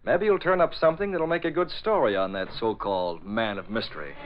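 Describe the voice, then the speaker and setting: An actor playing a shrewd, dryly amused newspaper boss in an old radio drama, wry and confident. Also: male voice, fairly low pitch, brisk, natural voice. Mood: wryly amused. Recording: muffled, noisy old radio recording.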